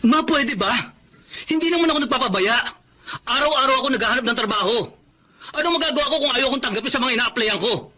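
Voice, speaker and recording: A man's voice: extremely high pitch, lively intonation, fast, bright, forceful tone, exaggerated voice. An actor playing a proud, defensive husband and father out of work, in an insistent, emotional Filipino radio drama style. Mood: defensive, exasperated. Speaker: drama actor and narrator of his own story.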